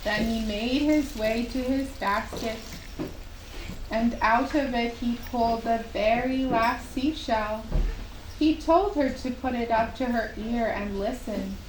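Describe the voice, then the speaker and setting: A woman telling a scripted children's story in a gentle, deliberate way, as at a puppet show. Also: a little high. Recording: roomy, distant microphone, faint background noise.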